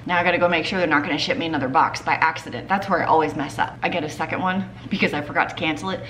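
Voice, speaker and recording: A woman's voice: low voice, very fast, a bit bright, soft background music. A woman in her thirties vlogging from her home kitchen, talking casually to a handheld camera as she walks through her groceries and prices. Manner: chatty, upbeat, walks through items and prices. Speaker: family vlogger. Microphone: handheld camera, held close.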